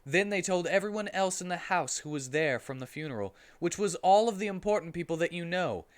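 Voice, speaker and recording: A man streaming a comic dramatic reading with casual commentary, sounding amused and animated. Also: high-pitched, brisk.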